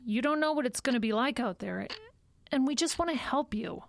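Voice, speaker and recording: An actress playing a measured, firm and slightly condescending psychologist in an audio drama. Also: female voice, high-pitched, lively intonation, gravelly, no echo. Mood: earnest, firm.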